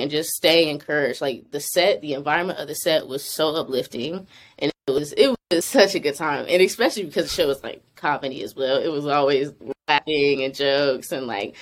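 A young woman, an actress, chatting casually in an interview, laughing often and filling her talk with "like". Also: sits low. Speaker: actress guest.

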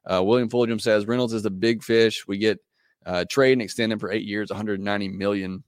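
A man hosting a podcast, reading listener comments in casual chat, hoarse as he loses his voice, talking in a loose, hesitant way full of fillers. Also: lowish voice, fairly even intonation, fairly quick, dry recording, studio quality. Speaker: podcast host.

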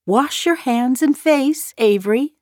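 An actress playing a practical, no-nonsense farm mother in an audiobook, brisk and commanding. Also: high voice, darkish tone, no room sound, clean studio sound. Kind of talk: audiobook full-cast character acting.

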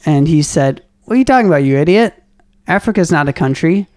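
A man who hosts a podcast and interviews a friend in a casual way, laughing often and halting with many fillers. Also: fairly high pitch, expressive, darkish tone, dry recording, clean studio sound. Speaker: podcast host.

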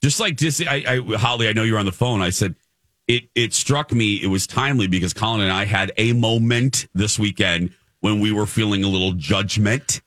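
An American man co-hosting a casual radio chat, with a dramatic, halting delivery and repeated phrases for emphasis. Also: fairly low pitch, steady tone, fairly bright tone, no room sound, clean studio sound. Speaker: radio show co-host.

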